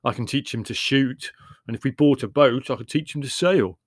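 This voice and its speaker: Outdoorsy young brother for storybook, played by a man: gruff, blunt, insistent, ready to argue. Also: medium pitch, moderate pace, no room sound, studio quality, natural voice. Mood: confident. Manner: insistent, argumentative. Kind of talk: audiobook character voice.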